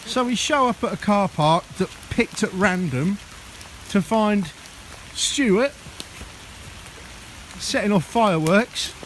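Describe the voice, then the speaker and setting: A man with a notably high voice talks casually and jokily to the camera. He is outdoors in rainy woodland. Rain is clearly audible behind him. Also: expressive, slow, pauses often. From Sheffield, England. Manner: jokey, chatty, laughs often. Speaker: vlogger. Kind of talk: casual outdoor vlog chat. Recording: rain noise in the background.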